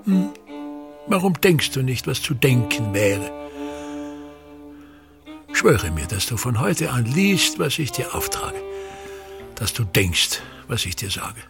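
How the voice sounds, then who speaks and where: An actor playing a pompous, tyrannical older theatre manager in a radio drama, declaiming scornfully in a gravelly, husky voice. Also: male voice, mid-range voice, sing-song, takes it slowly, long pauses, music in the background, heavily acted. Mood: demanding. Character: pompous, tyrannical ageing male theatre actor-manager berating his son.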